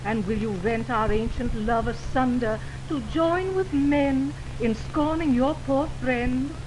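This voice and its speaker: A woman's voice: high-pitched, takes it slowly. A woman playing a wounded, indignant young woman in love, in theatrical, declamatory 1920s radio Shakespeare style. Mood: hurt, indignant. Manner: theatrical, declamatory verse delivery. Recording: noisy 1929 radio broadcast on optical film.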